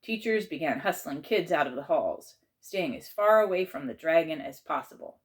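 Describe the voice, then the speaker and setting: A woman's voice: sits low, animated, moderate pace. A woman narrating a children's chapter book aloud as its author and a teacher.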